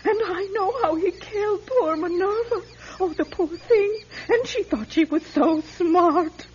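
Indignant older lady, piercingly high, accusatory, theatrical radio acting, dull lo-fi recording. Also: measured pace, darkish tone, a little hiss or hum. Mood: distraught, accusing. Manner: dramatic, scolding, accusatory. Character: nosy, indignant older female resident, excitable and accusing. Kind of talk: classic radio drama acting.

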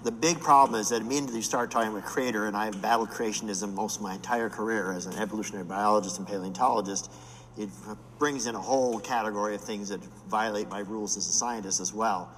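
Older scientist in his 60s, low voice, blunt, in a reverberant room. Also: fairly even intonation, fast, fairly bright tone, a little hiss or hum. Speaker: paleontologist and geologist. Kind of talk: panel discussion.

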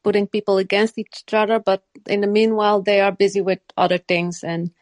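A woman's voice: medium pitch, level tone, a little fast, no echo, studio quality. A woman from Morocco, raised in the Netherlands, talking earnestly in a casual chat and often repeating and restarting her phrases.

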